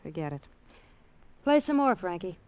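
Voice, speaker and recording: A woman's voice: on the low side, big pitch swings, moderate pace, lo-fi sound. An actress playing a bitter, commanding female crime boss in a 1940s radio drama, with curt, sardonic delivery. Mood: scornful. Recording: old radio broadcast with music underneath.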